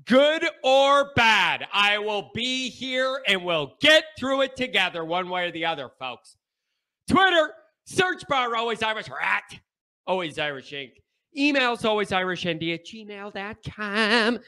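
Congested male show host, high voice, unhurried, emphatic repeats. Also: animated. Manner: emphatic, repeats points with bursts of shouting. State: stuffed-up nasal congestion. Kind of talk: sports talk monologue.

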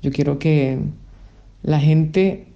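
A woman from Costa Rica, a musician, answers interview questions in a thoughtful way and often pauses to hesitate. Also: deep voice, mellow, dull audio. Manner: thoughtful, with frequent hesitation sounds. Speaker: musician guest.

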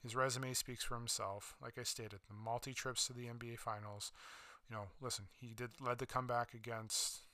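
A man's voice: mid-range voice, little pitch movement, a little fast, hardly any pauses, pushed and bright, dry recording. A man hosting a solo sports podcast, talking casually with many fillers and restarts, his voice slightly worn. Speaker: podcast host.

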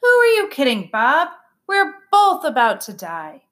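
A woman voicing a feisty young female dog who bickers with her brother, with sassy, quick retorts in a storybook reading. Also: high-pitched, wildly swooping pitch, a bit bright, voice pushed up, full character voice. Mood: defiant.

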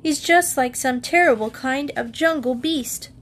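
A woman's voice: sits high, a little slow, pitched above the performer's own voice. A woman voicing a bossy, easily irritated schoolgirl in a storybook, emphatic and indignant, on a noisy recording. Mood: disgusted.

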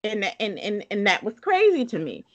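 A woman's voice: mid-range voice, wildly swooping pitch, moderate pace, a bit bright, dull audio. Woman in a casual book chat, blunt and emphatic, keeps repeating her point. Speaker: book discussion co-host.

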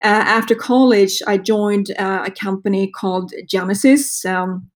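Swedish lady in her 50s, exec being interviewed, lots of ums and restarts, medium pitch. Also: unhurried. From Sweden. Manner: hesitant, many fillers and restarts. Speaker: guest, marketing executive. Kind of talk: casual interview talk.

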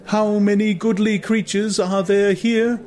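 Man acting a voice from memory, raised high, singing, declamatory, slow drawn-out words, audiobook drama. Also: level tone, darkish tone. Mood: mocking. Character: mocking, singing voice quoting lofty verse in memory.

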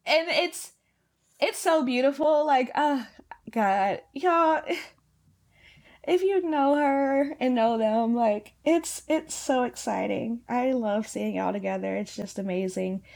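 A woman co-hosting a podcast in casual chat, slightly hoarse, laughing often and filling her talk with "like". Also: a little high, slow, darkish tone. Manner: laughing, chatty, lots of "like" fillers. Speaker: podcast co-host.

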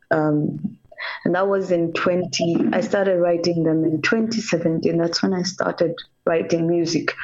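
A woman's voice: fairly low pitch, dull audio. A woman from Kenya, a reggae singer, talking earnestly about her music in a casual radio interview, in short repeated phrases. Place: radio call-in.

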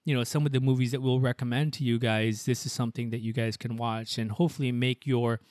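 A man's voice: mid-range voice, steady tone, fast talker, darkish tone, no room sound, studio quality. A young man co-hosting a podcast in a casual, rambling way, switching between English and Tagalog. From United States. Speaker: podcast co-host.

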